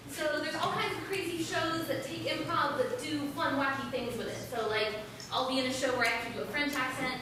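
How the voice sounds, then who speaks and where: A woman host reads out announcements at an improv night, sounding cheerful and casual with frequent ums. Her voice is fairly high. The recording comes from across a booming, echoey theater with a steady room hum behind her. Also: distant mic. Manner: chatty, upbeat, frequent ums. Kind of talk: stage announcements. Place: small theater.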